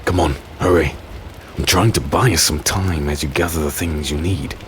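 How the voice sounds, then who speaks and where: A man playing a grave, protective swordsman husband in a dark fantasy audio drama, speaking earnestly with dramatic pauses. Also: a bass voice, steady tone, slight background noise. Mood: urgent.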